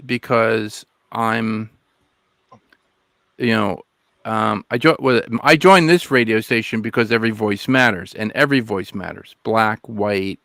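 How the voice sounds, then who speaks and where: A man from the United States co-hosting a podcast, talking hesitantly with many pauses and restarts. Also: mid-range voice, fairly bright tone, no room sound. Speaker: podcast co-host. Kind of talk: casual podcast discussion.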